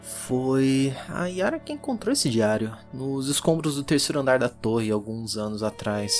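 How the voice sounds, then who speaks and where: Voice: medium pitch, sing-song, moderate pace, darkish tone, close to an everyday voice. A performer with a Brazilian accent playing a weary, methodical occult archivist, musing hesitantly as they dictate an audio drama log. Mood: reserved, musing. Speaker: narrator. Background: music.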